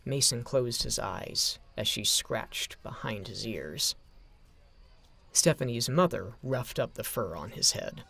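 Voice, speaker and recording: A man narrating a scripted story in a dramatic, expressive storytelling manner. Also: mid-range voice, takes it slowly, creaky, husky.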